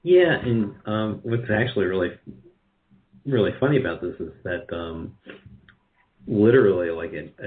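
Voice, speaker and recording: Low-voiced man in a muffled interview. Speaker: guest, radio drama producer. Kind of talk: conversational interview answers.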